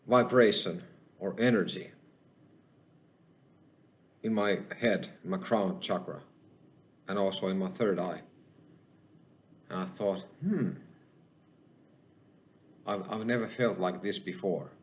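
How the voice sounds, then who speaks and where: Finnish guy talking slow and careful in english, kinda low voice, lots of ums and pauses. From Finland. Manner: slow and halting, with many pauses and fillers. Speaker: channel host. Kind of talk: solo spoken monologue.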